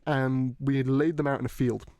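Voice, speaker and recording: A young man with a British accent chats casually as podcast host, rambling from one thought to the next and dropping the odd swear word. His voice sits at an ordinary mid pitch. The sound is dry and close. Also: fairly even intonation, a little mellow, no room sound. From Hong Kong. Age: young adult. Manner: rambling, swearing, quick laughs. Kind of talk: casual chat.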